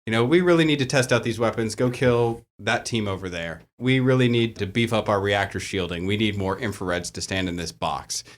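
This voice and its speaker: A man's voice: fairly low pitch, fairly quick, hardly any pauses. A man speaking as a game master guest, explaining rules in a casual group chat. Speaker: tabletop and LARP game master, panel guest.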